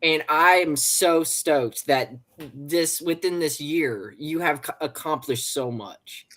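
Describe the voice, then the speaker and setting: Slow-talking host in casual chat. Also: mid-range voice, fairly bright tone.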